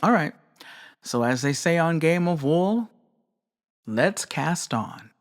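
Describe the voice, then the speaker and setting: A woman hosts a craft podcast and talks through her views by herself. She is measured and candid and says plainly what she thinks. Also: deep voice, animated. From not British. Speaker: podcast host. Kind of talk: reflective solo commentary.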